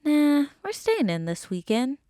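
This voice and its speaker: A woman's voice: high-pitched, very animated, unhurried, warm tone, no room sound, studio quality. A woman playing a brash, sarcastic dinosaur park animal handler in an audio drama, talking in a wry, colloquial way. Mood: chummy, playful.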